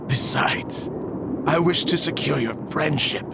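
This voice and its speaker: A man's voice: on the high side, unhurried, creaky. A man playing an eccentric, ailing old alchemist in a period radio drama, speaking in a grave, stilted, formal way. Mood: grave, pleading. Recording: very noisy audio drama recording.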